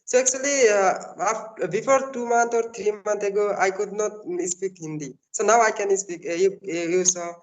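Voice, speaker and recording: Man from Assam, Bengali native speaker, high voice, polite and hesitant, stops and restarts often. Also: normal speed, hardly any pauses, low-quality recording. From Assam, India. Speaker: English learner. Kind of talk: casual practice conversation.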